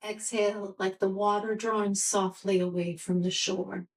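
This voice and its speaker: A woman teaching restorative yoga, guiding a meditation in a soothing, gentle, unhurried manner. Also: medium pitch, level tone, distant mic, low-quality recording.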